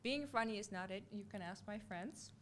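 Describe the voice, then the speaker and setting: Soft-spoken young Dutch-accented woman. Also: medium pitch, normal speed, a little hiss or hum. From Curaçao. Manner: soft-spoken, laughs easily. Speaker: pageant contestant guest. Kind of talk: casual interview answers.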